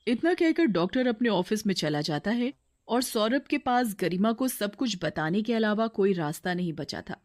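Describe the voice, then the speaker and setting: A woman's voice: mid-range voice, animated, normal speed, darkish tone. A woman reading scripted narration as the storyteller of a moral tale.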